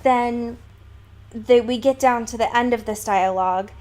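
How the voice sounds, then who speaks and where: A woman's voice: fairly high pitch, steady tone, unhurried, darkish tone. Analytical woman chatting casually about a book. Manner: analytical, emphatic, casually profane. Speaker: podcast co-host.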